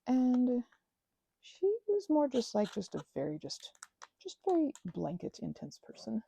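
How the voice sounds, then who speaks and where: A woman with a breathy, soft-edged voice rambling intimately and casually, halting and interrupting herself as she reflects. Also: fairly high pitch, big pitch swings, no room sound. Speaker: craft vlogger and ASMR creator. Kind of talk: rambling, intimate casual chat while crafting.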